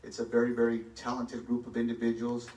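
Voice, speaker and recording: A man's voice: medium pitch, completely flat delivery, quick pace, a little mellow, slight background noise. A man running for mayor, answering a forum question in a confident, emphatic way on a stage in a large hall. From Texas. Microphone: handheld mic, filmed from far back. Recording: echoey hall, far from the phone.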